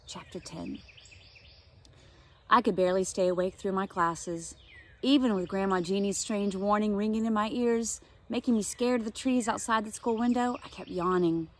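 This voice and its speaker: Woman reading a children's novel aloud. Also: medium pitch. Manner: expressive, with asides to listeners.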